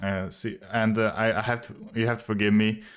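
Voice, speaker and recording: Man, low voice, English not his first language, casual argumentative talk, hesitant, lots of fillers and restarts. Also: flat delivery, a little fast, clear, resonant tone. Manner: explains step by step, often asks "right?". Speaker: video creator responding to a commenter.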